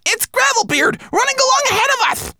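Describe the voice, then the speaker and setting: A tiny, trembling homunculus. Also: male voice, expressive, slow, bright, forceful tone, clear, resonant tone, no room sound, clean studio sound, exaggerated voice. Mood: fearful. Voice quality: high thin put-on voice. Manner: trembling, nervous, fearful. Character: tiny timid male homunculus, fearful and trembling. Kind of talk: audiobook character voice.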